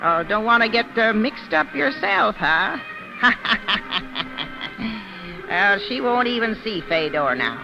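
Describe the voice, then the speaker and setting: An actress playing a sly, gossipy circus fortune-teller in an old radio drama, wheedling and insinuating in folksy slang with a creaky voice. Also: female voice, a little high, very animated, slow pace, pauses often, exaggerated voice. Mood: sly, prying. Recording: muffled old radio recording, music behind.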